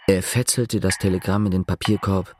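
An actor as the narrator of a literary radio drama, retelling another man's confession with measured, detached irony and a husky voice. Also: sits low, fairly even intonation, background noise, natural voice.

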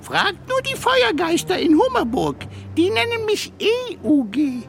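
A squeaky, theatrical little ghost. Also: sing-song, measured pace, gravelly, soft background music, full character voice. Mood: offended, haughty. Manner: theatrical, affectedly dignified. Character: Hugo, vain, easily offended, likeable little ghost. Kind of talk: children's radio play acting.

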